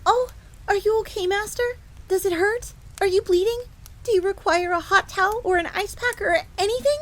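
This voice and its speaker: A woman's voice: very high voice, normal speed, a bit bright. A woman playing a sweet, timid, eager young bunny maid who stammers, speaks deferentially and refers to herself in the third person in a scripted roleplay drama. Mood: anxious, caring. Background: ambience, crackling fire.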